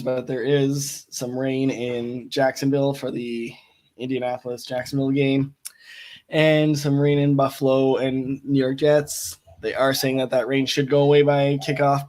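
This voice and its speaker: Slightly raspy young man, flat delivery. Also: mid-range voice, moderate pace. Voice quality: a bit raspy. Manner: flat, matter-of-fact delivery with fillers. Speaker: co-host. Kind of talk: casual fantasy football chat.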